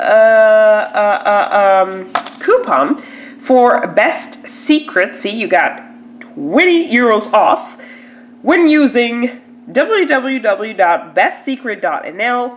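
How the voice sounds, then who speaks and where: A woman with a stuffy nose from a cold, chatting casually to camera as she unboxes a beauty box, with lots of "like" and "um". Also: medium pitch. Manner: chatty, filler-heavy, lots of "like" and "um".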